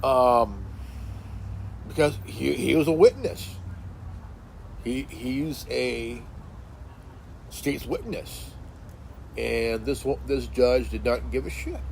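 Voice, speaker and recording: Late-forties man, solo talk, slow drawl, hesitant restarts, mid pitch. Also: gravelly, a little hiss or hum, poor audio. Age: 40s. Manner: halting, many fillers and restarts. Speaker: commentary channel host. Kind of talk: casual monologue. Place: sitting in a chair indoors.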